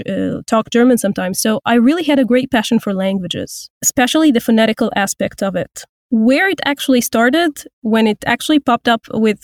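A woman in her thirties from Egypt, a voice actor and language teacher, speaking thoughtfully with frequent pauses and restarts in an interview. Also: fairly high pitch, a little mellow, no room sound, studio quality. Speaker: guest, voice actor and Turkish teacher. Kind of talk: casual interview.

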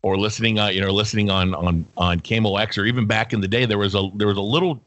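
Casting: co-host male, lower voice, easy rambling chat, dry sound. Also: quick pace, no room sound. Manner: relaxed, talkative, self-correcting. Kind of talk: casual sports talk.

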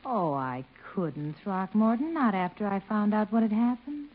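A woman's voice: medium pitch, expressive, normal speed, natural voice. An American actress playing a flirtatious, eager lady friend in a 1950s radio comedy, with a coy, coaxing manner. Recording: muffled, hissy old radio recording.

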